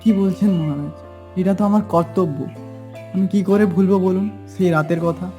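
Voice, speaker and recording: A man's voice: high-pitched, steady tone, fairly quick, warm tone, faint music behind, lightly acted. A man voicing a loyal, plucky talking carrot, eager and dutiful, in a comedy cartoon. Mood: earnest, loyal. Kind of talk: Bengali comedy cartoon dubbing.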